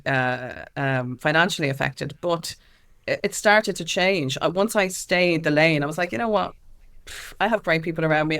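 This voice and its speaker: A woman's voice: sits low, fairly bright tone. An Irish woman giving candid, informal and emphatic answers as an interview guest, speaking as an activist. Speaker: guest, activist and music consultant.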